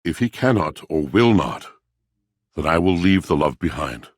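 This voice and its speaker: A man playing an aging, lovelorn washing machine in a video game, with a gravelly voice and a solemn, theatrical, flowery manner. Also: sing-song, moderate pace, dry recording, clean studio sound, exaggerated voice. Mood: mournful, resolute. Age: older. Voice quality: deep, gravelly. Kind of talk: video game character acting.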